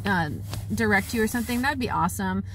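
A woman's voice: mid-range voice, quick pace, slight background noise. A woman in her forties talking to camera from a parked car, tired and heartfelt, rambling with many restarts, recorded on a phone held at arm's length. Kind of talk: casual talk to camera.

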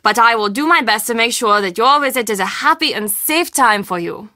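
A formal, eager-to-please castle manager in a video game. Her voice is fairly high and bright, careful and slightly anxious, recorded very dry. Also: animated, fairly quick, no room sound. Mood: welcoming, apologetic. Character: polite, anxious female German castle guest-services manager.

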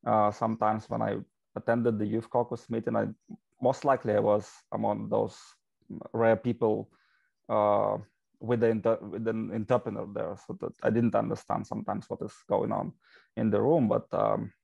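Gravelly-voiced man on a dull video call. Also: on the low side, little pitch movement, moderate pace, a little mellow, no room sound. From Russia. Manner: hesitant, many fillers and restarts. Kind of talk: casual video-call interview. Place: home study with bookshelves. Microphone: video call, laptop mic.

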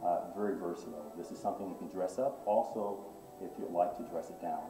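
A man's voice: sits low, fast talker, far from the mic, music in the background. A middle-aged man who owns a clothing boutique, showing off items with eager salesman talk full of fillers. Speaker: boutique owner. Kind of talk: product walkthrough in interview. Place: menswear boutique, indoors. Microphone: far camera. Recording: echoey shop sound from off-mic.

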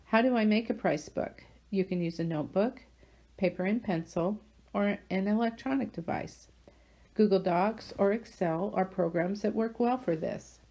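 Female tutorial narrator, lowish and mellow with vocal fry, steady scripted read. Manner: even, instructional reading. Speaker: channel host. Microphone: voice-over over slides.

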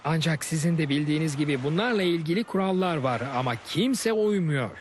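A man's voice: fairly high pitch, animated, brisk, slight background noise, lightly acted. A man voicing a righteous, defiant young guardian of the planet in a dubbed cartoon, speaking firmly. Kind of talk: Turkish dubbed educational cartoon.

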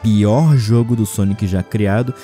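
A man's voice: on the low side, measured pace, dark tone. A young Brazilian man delivering comedic scripted narration in a slangy, sweary, sarcastic manner. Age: young adult. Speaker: gaming video creator. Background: background music.

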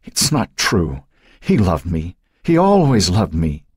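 A man's voice: low voice, big pitch swings, moderate pace, slightly rough, no echo, studio quality, full character voice. A man voicing a tormented, panicked woman who protests and pleads desperately in an audiobook. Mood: desperate denial. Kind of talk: audiobook character voice.

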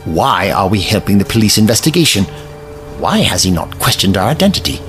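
A man's voice: fairly low pitch, very animated, fairly quick, music in the background. Man acting an eccentric, swooping time-traveller. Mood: quizzical. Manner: whimsical, wordy, questioning. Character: eccentric, curious male time-travelling Doctor, playfully pedantic. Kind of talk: audio drama acting.

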